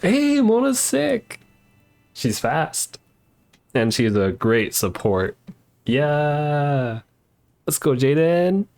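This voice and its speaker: Male game streamer, relaxed conversational chat with viewers, music faintly underneath. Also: on the high side, very slow, long pauses. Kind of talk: casual live-stream chat, reading game dialogue aloud.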